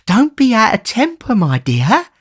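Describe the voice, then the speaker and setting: A man voicing a scheming old male fence who wheedles and pleads in a high, whining, sing-song voice in a storybook reading. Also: wildly swooping pitch, measured pace, slightly rough, heavily acted. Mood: pleading. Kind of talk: audiobook character reading.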